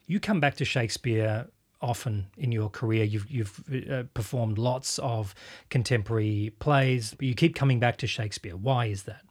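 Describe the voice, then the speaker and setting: A man's voice: medium pitch, moderate pace, hardly any pauses. Thoughtful male interviewer. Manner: frequent backchannel, thoughtful questions. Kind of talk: interview conversation.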